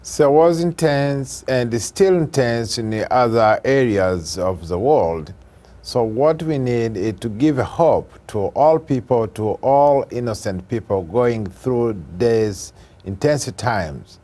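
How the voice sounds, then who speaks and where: A man answering interview questions in a slow, earnest, deliberate way. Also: mid-range voice, expressive, dark tone. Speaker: guest.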